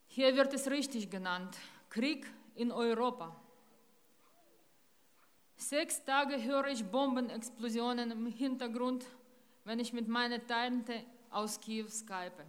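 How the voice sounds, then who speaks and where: A middle-aged woman, from Ukraine, giving a grave, deliberate public speech at a rally in a town centre. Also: a little high, long reverb tail. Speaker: rally speaker.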